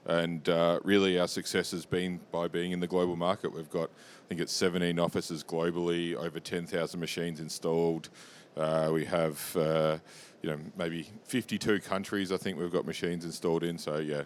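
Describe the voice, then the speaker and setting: A man's voice: level tone, moderate pace, few pauses. An Australian man answering as a company executive in a business interview, matter-of-fact and explanatory. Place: interview lounge at a trade show. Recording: low rumbling background noise.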